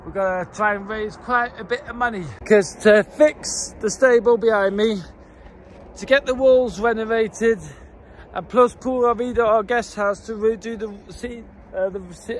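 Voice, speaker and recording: A man's voice: high voice, slight background noise. A man in his forties, a farm owner, chatting casually and rambling with restarts and fillers as he walks and films himself on a phone held at arm's length. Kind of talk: casual walk-and-talk vlog. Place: mountain farm, mostly outdoors, partly in a wooden kitchen.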